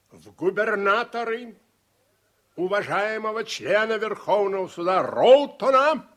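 An actor playing an old politician making a grave, emphatic speech in a theatrical radio play style. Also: male voice, high voice, a bit bright, exaggerated voice. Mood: pointed, declamatory. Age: older. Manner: rhetorical, emphatic, deliberate with pauses. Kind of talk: Russian radio play oratory.

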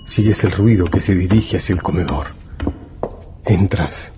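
A man delivering dramatic scripted narration in deliberate, measured phrases. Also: sits low, warm tone, a little hiss or hum. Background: music.

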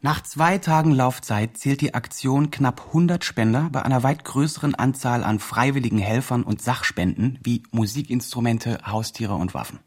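Earnest fundraiser, radio play narration; mid-pitched, husky voice, formal report-like delivery. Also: male voice, darkish tone. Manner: formal, report-like project jargon. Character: earnest fundraising project organizer, a man reporting progress. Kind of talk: radio drama, scripted narration.

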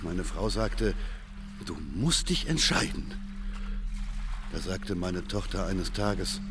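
A man acting a middle-aged fisherman and father in a radio drama, an earnest, haunted witness who tells his story haltingly and insistently. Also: low voice, animated, heavy background noise. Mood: somber, measured. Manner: halting, deliberate, repetitive storytelling.

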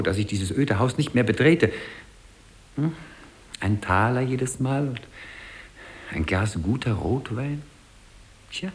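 An actor playing a frail, put-upon old French dancing master who chatters in a halting, animated way in a radio play. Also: male voice, fairly low pitch. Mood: breathless grievance. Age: older. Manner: halting, animated, chuckling storyteller. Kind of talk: 1950s German radio play acting.

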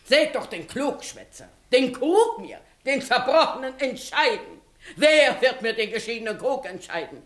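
A scolding village mother, declaims with big swooping pitch in a roomy studio. She is middle-aged, sharp-tongued and full of mocking outrage, in the grand manner of a 1960s radio play. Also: big pitch swings, a little slow. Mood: indignant, mocking. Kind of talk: 1960s German-language radio play, classical stage acting.

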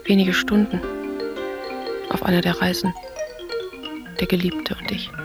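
A woman playing a pensive, detached narrator who recounts memories and dreams in measured, short literary sentences for a radio play. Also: on the low side, flat delivery, gravelly. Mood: dreamlike, musing. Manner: measured, introspective. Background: music.

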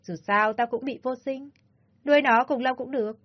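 A spiteful woman kidnapper full of jealousy spits threats in a raised, strident voice, as a woman narrator puts her on. Her husky edge cuts through a dull, lo-fi audio-story recording. Quiet music plays behind her. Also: high-pitched, normal speed, pitched above the performer's own voice. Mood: cold. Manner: harsh, contemptuous and shouting.

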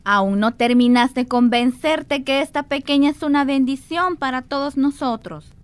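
A woman's voice: high-pitched, normal speed, smooth, dry recording, natural voice. A woman playing a caring, anxious household woman with an earnest manner in an amateur radio drama.

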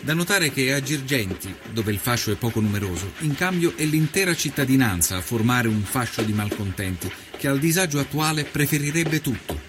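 An actor playing an earnest nineteenth-century Italian investigative journalist, narrating his reportage in measured, literary first person for a documentary. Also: medium pitch, expressive, noisy background, natural voice. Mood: observant, wry.

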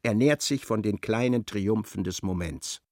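Gravelly male radio play narrator. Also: on the low side, unhurried, darkish tone, no room sound, studio quality.

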